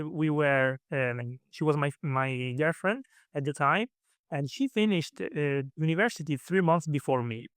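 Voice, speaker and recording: Italian man, fairly high, smooth voice. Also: a bit bright, dry recording, clean studio sound. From Italy. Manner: hesitant, many fillers and restarts. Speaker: guest. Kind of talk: casual interview answers.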